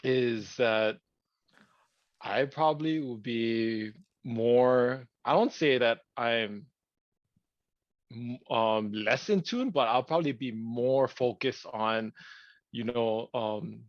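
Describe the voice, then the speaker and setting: A man's voice: mid-range voice, no echo, dull audio. Rambling man. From Hawaii. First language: Hawaiian. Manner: thoughtful, rambling, many pauses and restarts. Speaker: podcast co-host. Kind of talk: casual podcast chat.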